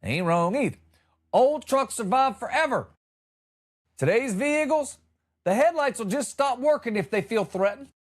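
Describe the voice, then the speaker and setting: A man's voice: very high-pitched, animated, normal speed. A middle-aged man hosting a comedy podcast, telling stories in a folksy, colloquial and punchy way with comic exasperation. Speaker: comedy podcast host and former radio entertainer. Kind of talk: comedic storytelling monologue.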